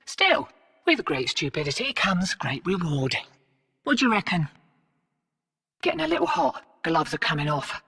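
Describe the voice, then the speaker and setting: Bright, brash Aussie smuggler, echoing hall. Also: female voice, fairly low pitch, big pitch swings, normal speed, vocal fry, pauses often, slightly rough, heavily acted. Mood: cocky, goading. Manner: slangy, cheeky, streetwise. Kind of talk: video game character briefing and callouts. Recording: echoey hall reverb, distant sound.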